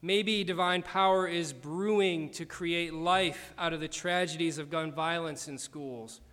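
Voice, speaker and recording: A man preaching a sermon in a measured, deliberate way, slowing down for emphasis. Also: on the high side, fairly bright tone, in a large hall. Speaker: pastor.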